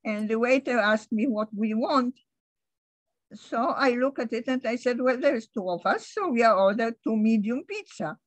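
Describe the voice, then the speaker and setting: Brief: older Polish woman, English not her first language, slightly high voice, halting recollection, dry close sound. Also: lively intonation, no echo, low-quality recording. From Poland. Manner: halting, restarts often, light laughs. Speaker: interviewee. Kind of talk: recalling her past in conversation.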